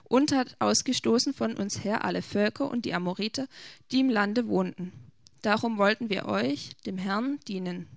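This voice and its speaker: A woman reading a long scripture passage aloud in a steady, measured way. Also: mid-range voice, gravelly, dry recording, studio quality. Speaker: scripture reader.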